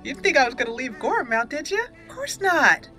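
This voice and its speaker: A woman's voice: high voice, big pitch swings, fairly quick, sharp tone, soft background music. A woman giving casual, playful let's play commentary, chatting to herself and to the game as she goes. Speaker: gaming video host.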